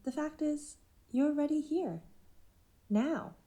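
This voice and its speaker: A woman's voice: sits high, slow, dark tone. A woman playing a smug, controlling group leader in a podcast drama, taunting and assured. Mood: coolly certain.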